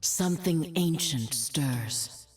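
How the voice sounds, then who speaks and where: A wise, patient ice bird spirit from a video game. Her voice is low and husky, and she speaks with slow, measured calm. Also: faint music behind, exaggerated voice. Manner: measured, soothing, philosophical. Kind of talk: video game character voice lines.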